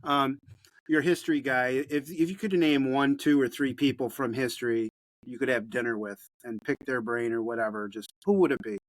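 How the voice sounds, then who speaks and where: A man hosting a podcast, asking loosely phrased questions in a relaxed way. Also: medium pitch, normal speed, dry recording, low-quality recording. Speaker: podcast host, interviewer. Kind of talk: casual interview questions.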